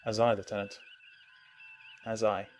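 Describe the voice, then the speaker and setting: A man's voice: fairly low pitch, little pitch movement, a little slow, a little hiss or hum, lightly acted. A man playing a wry, easygoing starship lieutenant in an audio drama, understated in delivery.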